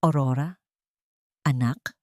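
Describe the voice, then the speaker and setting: A woman's voice: very deep voice, fairly even intonation, slow, warm tone, dry recording, clean studio sound, voice pushed down. A woman voicing a frightened young mother in a story, anxious and pleading. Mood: anxious hope. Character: frightened, desperate young mother searching for her child. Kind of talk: storybook drama.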